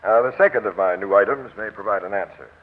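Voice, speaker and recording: Vintage radio, tinny and dark: terse American police captain, brisk, authoritative, no-nonsense. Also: male voice, on the low side, breathy edge. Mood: brisk, businesslike. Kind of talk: 1940s radio drama acting. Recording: tinny old radio broadcast.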